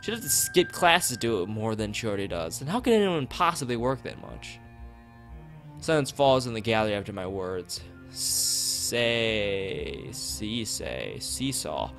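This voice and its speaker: Animated male streamer reading aloud over music. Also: medium pitch, fairly quick. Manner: stumbles over words, rereads phrases. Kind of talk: reading a visual novel aloud, chatting with viewers. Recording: background music behind voice.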